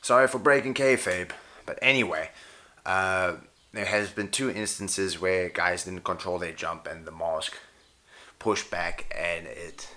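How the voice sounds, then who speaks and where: Deep, bright-voiced man. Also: steady tone, unhurried. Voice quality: voice tires easily from past throat injury. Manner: rambling, self-correcting, wry. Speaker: pro wrestler and jiu-jitsu and boxing coach. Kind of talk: unscripted casual talk to camera.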